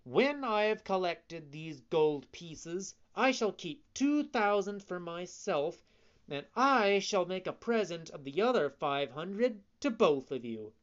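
Storybook puppet boy, naive and excitable, voiced by the reader, lively and wide-eyed. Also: mid-range voice, slow pace, lo-fi sound, pitched above the performer's own voice. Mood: eager. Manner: wide-eyed, eager, easily astonished. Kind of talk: audiobook reading.

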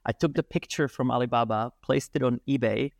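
Guy in his 30s, chatty guest, lots of uhs, dry sound, mid pitch. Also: a little fast, vocal fry, no echo. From Czech Republic. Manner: animated, hesitant with many fillers. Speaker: guest, breathwork and movement teacher. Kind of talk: casual storytelling interview.

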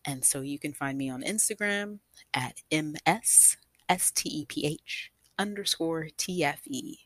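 A woman shares her own story as a featured storyteller, speaking slowly in a close, dry recording. Her voice is husky, and she talks in a reflective, emphatic way, addressing her listeners directly. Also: low voice, fairly bright tone, no room sound. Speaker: guest storyteller, nonprofit founder. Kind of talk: personal storytelling to an audience.

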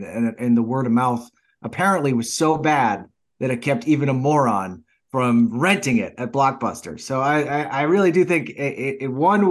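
A man in his forties talking as a podcast co-host with a medium-pitched voice. His delivery is informal and conversational, full of restarted phrases and casual swearing. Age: 40s. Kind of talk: casual film chat.

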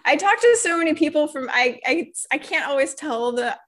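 A woman's voice: high-pitched, lively intonation, fairly bright tone. Casual woman host, full of fillers. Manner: casual, many fillers, quick laughs. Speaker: host, interviewer. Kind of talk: casual interview chat.

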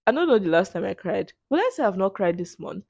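A woman's voice: mid-range voice, sing-song, quick pace, creaky, a little mellow, no echo. A young woman with a Nigerian English accent, host of a casual solo podcast, answering questions in a playful, sarcastic way. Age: young adult. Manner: sarcastic, playful, laughs often.

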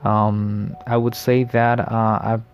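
A man's voice: fairly low pitch, little pitch movement, lo-fi sound. Friendly late-20s male podcast host. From the Americas. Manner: friendly, reflective, often pausing with fillers and restarts. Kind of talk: casual solo podcast monologue.

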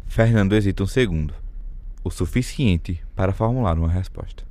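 Deep-voiced Brazilian male radio drama narrator. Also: brisk, a little mellow.

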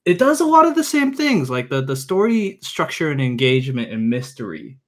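Halting, casual young man as guest. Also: mid-range voice, very animated, a bit bright. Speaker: podcast guest, visual novel reviewer. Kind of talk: casual chat, explaining and recommending.

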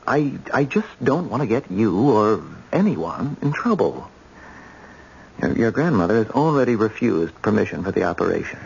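Radio drama: earnest, wry doctor, gravelly mid-range voice, informal, dull vintage sound. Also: male voice, on the low side, sing-song, normal speed, a little mellow, full character voice. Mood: earnest, uneasy. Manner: hesitant, plain-spoken, wry. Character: earnest young hospital surgeon, informal and persuasive. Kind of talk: old-time radio drama acting. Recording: muffled old radio recording.

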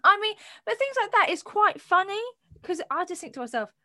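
A woman's voice: very high-pitched, fairly quick, no room sound, clean studio sound. A woman co-hosting a casual podcast with friends, telling stories with emphatic repeats. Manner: animated storytelling, emphatic repeats. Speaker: podcast co-host. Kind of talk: casual chat among friends.

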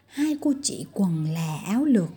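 A woman reading a scripted fairy tale for children as a storyteller, with a husky, breathy voice. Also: on the low side, very animated, moderate pace, warm tone.

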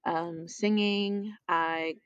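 A woman's voice: lowish voice, steady tone, very slow, clean tone, no echo, highs cut off. A woman co-hosting a casual conversation, talking openly and reflectively with frequent restarts.

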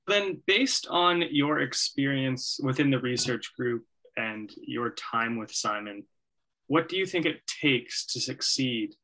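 A man with a medium-pitched voice speaks as a podcast co-host. He asks prepared interview questions and responds conversationally. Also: animated, slow, sharp tone, low-quality recording.

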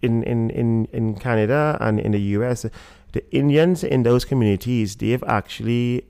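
A man from Trinidad with a Trinidadian accent, a guest talking in a relaxed interview and often pausing with fillers and restarts. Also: mid-range voice, gravelly, clear, resonant tone, dry recording. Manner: hesitant, many fillers and restarts. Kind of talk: casual interview talk.

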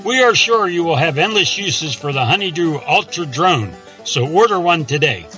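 A zealous radio pitchman played by a man hawks absurd tools in a parody commercial. His voice is bright and forceful and sits a little high. He sounds salesy and mock-earnest, with light music behind him. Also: expressive, moderate pace, low-quality recording. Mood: salesy, upbeat.

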